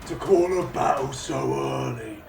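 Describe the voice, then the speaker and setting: Gravelly, scornful old knight. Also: male voice, mid-range voice, a little slow, heavy background noise. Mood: scornful, defiant. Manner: shouted taunts. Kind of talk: audio drama acting. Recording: echoey, distant, loud music.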